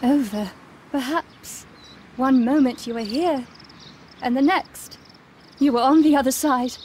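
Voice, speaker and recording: Defiant victorian woman suspect in a game, high voice, guarded, noisy ambient background. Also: measured pace, darkish tone. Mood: desperate. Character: cool, defiant female murder suspect, Victorian. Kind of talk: video game cutscene acting.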